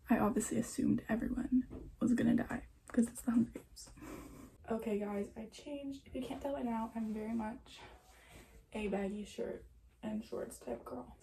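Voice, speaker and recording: A woman's voice: a little high, gravelly, dark tone, slight background noise, rough recording. A young woman chatting casually at home in a book vlog, full of "like" and "um" fillers. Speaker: book vlogger. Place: at home in front of a bookshelf.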